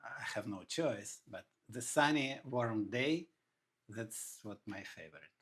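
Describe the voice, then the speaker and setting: A middle-aged man, a guest on a podcast interview, often pausing to search for words. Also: mid-range voice, expressive, husky. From Russia. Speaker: insurance agent guest.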